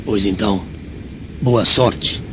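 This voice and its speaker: Wary old servant, muffled. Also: male voice, fairly low pitch, slow pace, fairly bright tone, a little hiss or hum. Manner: cautioning. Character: wary old servant boatman, protective and humble. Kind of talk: radio drama acting.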